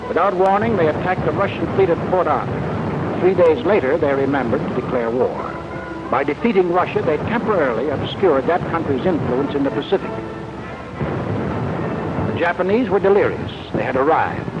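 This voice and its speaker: A man with a raised, higher voice reads 1940s documentary narration. He is punchy and sardonic. The old soundtrack is muffled, with a very loud score behind him. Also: animated, moderate pace. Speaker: propaganda film narrator. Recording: dull, band-limited 1940s film soundtrack. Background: loud music score.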